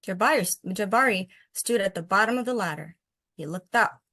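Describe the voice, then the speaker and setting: A woman's voice: mid-range voice, animated, fairly quick, sharp tone, no echo, studio quality. A woman who hosts read-alouds of picture books and chats about them in an encouraging way. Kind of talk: picture-book read-aloud and casual discussion.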